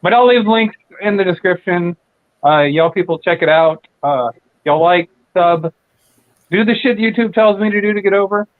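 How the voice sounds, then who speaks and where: A man's voice: high voice, normal speed, no room sound. A man hosting a late-night casual chat, rambling and profane, with lots of fillers and restarts. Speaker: channel host. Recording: tinny dry phone-like audio.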